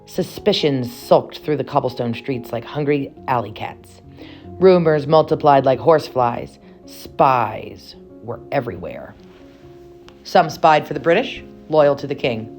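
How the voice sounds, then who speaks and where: A woman narrating a children's picture book with expressive, dramatic and deliberate phrasing. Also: rumbling low, soft background music. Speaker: read-aloud storyteller.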